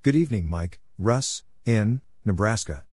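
Male announcer for scripted call-sign drills, fairly low pitch, very unhurried, clipped phrasing, faint noise behind. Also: animated, a little mellow. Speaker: Morse code practice announcer. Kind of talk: scripted read-out of radio call signs and exchanges, spelled letter by letter.

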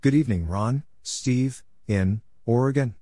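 Slow-talking man with a husky, mellow voice. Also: medium pitch, no room sound, clean studio sound. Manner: spells letter by letter in short phrases. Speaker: Morse code practice narrator. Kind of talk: reading out call signs and short radio exchanges.

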